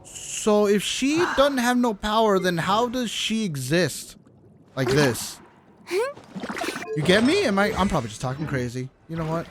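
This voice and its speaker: A streamer giving casual live gameplay commentary, slangy and sweary, thinking out loud. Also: medium pitch, expressive, a little slow. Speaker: video game streamer. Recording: noisy, clicks behind the voice.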